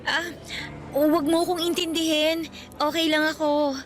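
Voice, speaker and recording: A woman's voice: high-pitched, level tone, moderate pace, faint music behind, close to an everyday voice. An actress playing a young female student in a radio drama, flustered and evasive, scolding her boyfriend playfully. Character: shy, secretive young female student girlfriend.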